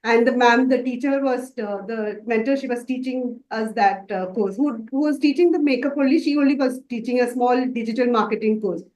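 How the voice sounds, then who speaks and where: A woman's voice: a little high, fairly quick, few pauses, darkish tone, echoey room, rough recording. A woman from Dubai answering interview questions about her makeup work, hesitant and full of fillers and restarts. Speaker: makeup artist student.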